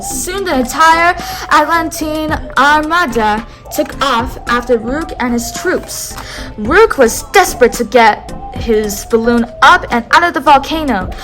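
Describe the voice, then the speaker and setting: Woman, storybook read-aloud, teacherly, prompts to repeat words, high voice, soft music behind. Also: rough recording. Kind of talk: reading a picture book aloud to a child.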